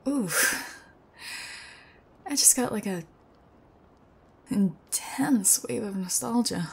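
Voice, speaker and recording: A young woman acting an odd, sweet, sheepish thief in an ASMR roleplay, speaking softly and hesitantly with awkward pauses. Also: mid-range voice, creaky, breathy edge, full character voice. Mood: wistful.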